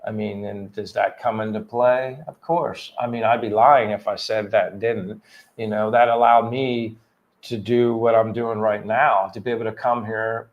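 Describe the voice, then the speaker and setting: A man's voice: on the low side, level tone, moderate pace, highs cut off. A man in his fifties from Pennsylvania, chatting earnestly and a bit ramblingly on a livestream. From Pennsylvania, USA. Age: 50s. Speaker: livestream co-host. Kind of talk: casual livestream chat.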